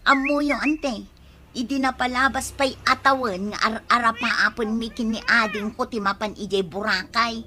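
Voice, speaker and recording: A woman playing a soft-hearted, worried lender in an Ilocano comedy radio drama, earnest and questioning, with an Ilocano accent. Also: fairly high pitch, steady tone, moderate pace, a little hiss or hum. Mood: hurt, pitying.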